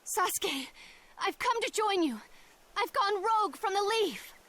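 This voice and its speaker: A woman's voice: very high-pitched, lively intonation, measured pace, a bit bright, exaggerated voice. A woman playing a devoted, anguished teenage ninja girl in an anime game, speaking in an earnest, pleading way. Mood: desperate devotion. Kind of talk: English anime game voice acting. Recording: music behind the voice.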